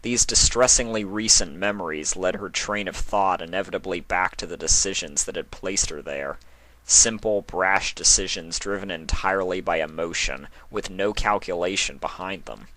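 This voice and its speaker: A man reading fan fiction aloud as narrator, in an expressive scripted storytelling style. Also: sits low, normal speed, dry recording, rough recording.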